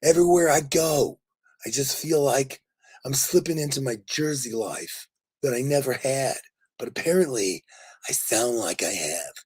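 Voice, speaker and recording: A man with a raspy voice worn from a lot of talking, chatting casually and effusively with live viewers as host, in a jokey, rambling way. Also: mid-range voice, normal speed, a little mellow. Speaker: livestream host.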